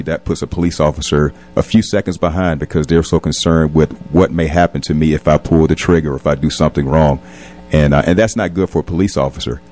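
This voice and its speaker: Very deep-voiced man, measured interview guest. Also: warm tone. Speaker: police captain and community leader, interview guest. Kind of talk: radio interview, reflective conversation.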